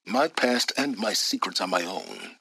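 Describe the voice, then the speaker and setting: Aloof secretive game scholar, real deep voice, lots of rise and fall, talks down to you. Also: male voice, wildly swooping pitch, gravelly, pushed and bright, husky, full character voice. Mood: cold, condescending. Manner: measured, condescending, formal diction. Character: aloof, secretive middle-aged male wanderer and scholar of ancient ruins. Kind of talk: dramatic video game voice acting.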